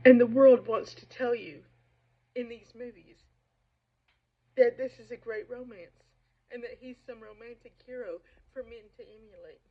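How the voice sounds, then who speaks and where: A woman giving informal literary commentary in an emphatic, opinionated and somewhat halting way. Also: a little high. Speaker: reader and commentator. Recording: dull, muffled lo-fi recording.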